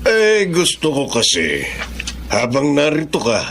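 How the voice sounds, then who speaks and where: A man playing an aging, principled and loving father in a Filipino radio drama, with a gravelly voice. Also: a little high, huge pitch swings, measured pace, a bit bright, slight background noise, full character voice. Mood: earnest.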